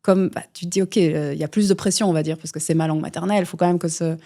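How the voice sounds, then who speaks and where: Mellow low woman, rambling. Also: fairly even intonation, quick pace. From Belgium. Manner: rambling, many fillers and restarts. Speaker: guest musician. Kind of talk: casual interview talk.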